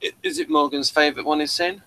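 A man's voice: on the high side, fairly even intonation, quick pace, sharp tone. A man hosting a casual review chat, talking in a hesitant, rambling way. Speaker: review show host.

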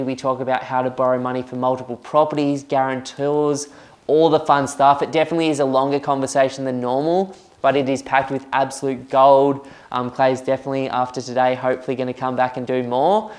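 Australian man, podcast host, light youthful voice, enthusiastic and chatty, flat steady delivery. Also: mid-range voice. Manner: enthusiastic, chatty, lots of fillers. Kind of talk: casual podcast chat.

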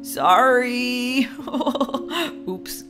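A woman acts out an anxious husband in a very high, bright voice that swoops widely. He is whiny, blunt and melodramatic, in a playacted story with music behind. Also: normal speed, full character voice. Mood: sheepish, eager. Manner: excitable, melodramatic.

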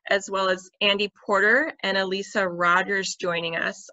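A woman hosts a video call panel, moving through introductions in a friendly, orderly way. Her voice is lowish but bright and forward. The audio is dry and a bit dull. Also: dry recording. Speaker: panel moderator.

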